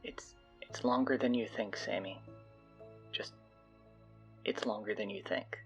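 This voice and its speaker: A woman's voice: very deep voice, a little slow. A woman playing a sullen, haunted teenage survivor in an audio drama, defiant and withdrawn. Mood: haunted, numb. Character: sullen, haunted teenage survivor, dodging questions about horrors. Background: music.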